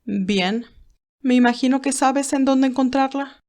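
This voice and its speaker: An elderly matriarch voiced by a woman narrator in an audiobook drama. She speaks in a raised pitch, curt and imperious, issuing formal orders as one who expects obedience. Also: a little slow, darkish tone. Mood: stern, commanding. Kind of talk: audiobook character dialogue.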